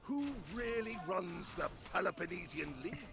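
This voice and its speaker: High, gravelly, sneering enemy leader. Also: male voice, expressive, moderate pace. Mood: mocking. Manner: mocking, needling. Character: taunting, sneering male enemy leader, combative and mocking. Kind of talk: dramatic video game cutscene acting.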